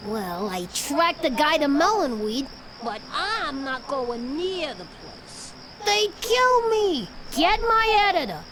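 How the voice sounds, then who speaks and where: An actress playing a frantic, pushy news reporter in a video game, urgent and dramatic. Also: female voice, sits high, big pitch swings, slow, a crowd in the background, exaggerated voice, poor audio. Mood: frantic, pleading.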